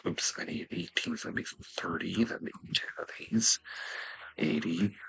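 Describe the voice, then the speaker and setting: Low, husky, gravelly man muttering over gameplay. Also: huge pitch swings, sharp tone, in a whisper, rough recording. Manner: halting, muttering to himself. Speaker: gaming video host. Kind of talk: casual gameplay commentary, thinking aloud.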